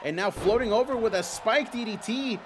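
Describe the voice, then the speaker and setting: A man whose first language is Spanish, hosting a live stream and commentating a simulated wrestling game in an excitable, chatty way. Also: high voice, a little fast. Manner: excitable, chatty, reads viewer comments aloud. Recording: noisy background.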